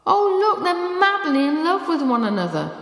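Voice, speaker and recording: A British woman playing an excited, commanding younger woman who is adopting a dog in a bedtime story. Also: high voice, normal speed, pitched above the performer's own voice, full character voice. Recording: echoey room with long reverb.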